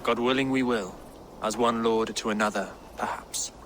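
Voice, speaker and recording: An actor playing a pious, serene deposed English king in a video game, speaking formally and with measured solemnity in an English accent. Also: mid-range voice, fairly even intonation, a bit bright, slight background noise, lightly acted. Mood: wry, quiet. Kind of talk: dramatic video game acting. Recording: game audio with music underneath. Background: music.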